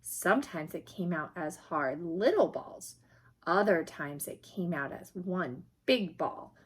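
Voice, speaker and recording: A woman reading a children's picture book aloud in an expressive, emphatic storytelling manner, with a slightly husky voice. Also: fairly low pitch, slow pace.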